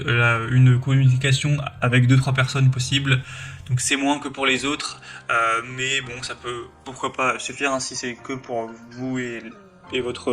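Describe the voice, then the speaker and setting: A man talks through a product review in an informal, explanatory manner. His medium-pitched voice moves little in tone. Music plays behind his narration.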